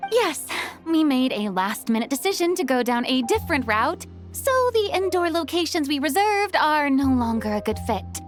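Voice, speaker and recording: Youthful, courteous anime-game film assistant, bright-eyed earnestness, high lively voice. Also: female voice, normal speed, soft background music. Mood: earnest. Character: earnest, polite young woman on a film crew.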